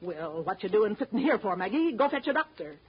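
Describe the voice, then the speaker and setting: An actress playing a sharp-tongued, suspicious middle-aged mother aboard a showboat in a 1930s radio drama, scolding and bossy in a folksy American way. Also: mid-range voice, big pitch swings, fairly quick, slightly rough. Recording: muffled, noisy 1930s radio broadcast recording.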